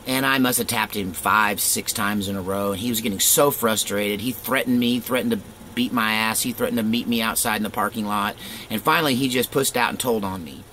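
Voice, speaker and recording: A middle-aged man with a low voice tells a personal story in a loose, rambling way, stopping for fillers and small chuckles. A faint hiss of background noise sits under his voice. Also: fairly even intonation, a little fast, sharp tone.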